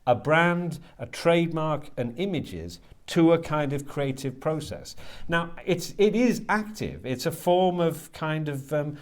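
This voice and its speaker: A man answering interview questions as a writer and lecturer, thinking aloud haltingly and often repeating words as he works out his ideas. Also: on the high side, lively intonation. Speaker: guest, creative writing lecturer and writer.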